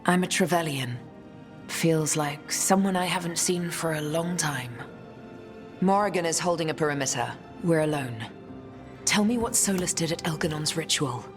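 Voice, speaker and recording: Very low-voiced, grave noblewoman leader acted by woman. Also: lightly acted. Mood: grave, reflective. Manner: measured, solemn. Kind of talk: fantasy video game voice acting. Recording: long reverb, music behind.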